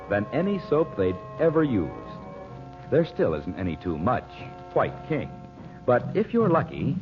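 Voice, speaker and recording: A male American announcer in vintage 1940s radio style, with a very lively, swinging delivery. Music sits behind his voice, and the old broadcast sound is dull and muffled. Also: on the low side. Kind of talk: 1940s radio announcing, scripted narration and sponsor pitch.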